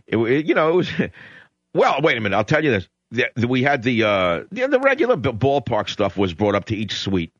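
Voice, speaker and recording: A man's voice: medium pitch, big pitch swings, brisk, no room sound. A male talk radio host, sardonic and emphatic, rambling through opinions and taking calls in a studio. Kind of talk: opinionated radio monologue and call-in chat. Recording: dull lo-fi radio broadcast.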